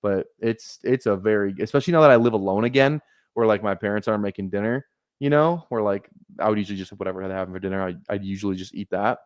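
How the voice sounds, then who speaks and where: A man's voice: fairly low pitch, fast talker, dry recording, clean studio sound. Rambling young man on a livestream. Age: 20s. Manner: rambling, laid-back, swears often, laughs at himself. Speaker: fantasy football streamer. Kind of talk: casual livestream chat. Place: small apartment room.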